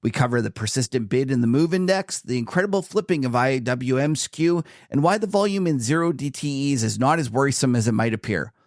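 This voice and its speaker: A man's voice: medium pitch, animated, a little mellow, no room sound, clean studio sound. A man co-hosting a podcast and interviewing a guest, chatty and enthusiastic. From Canada. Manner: chatty, enthusiastic, quick to laugh. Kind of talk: casual podcast chat and interview.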